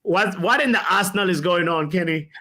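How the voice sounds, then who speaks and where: Young man, quiz host, excitable, hype announcing, slightly high voice. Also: a little fast. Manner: excitable, laughing often, hype-style announcing. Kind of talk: casual quiz chat with friends.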